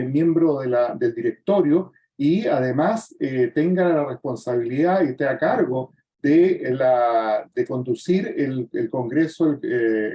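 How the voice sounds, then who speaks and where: Mellow-voiced man moderating. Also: medium pitch. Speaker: webinar moderator. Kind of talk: closing remarks at a webinar. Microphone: video call.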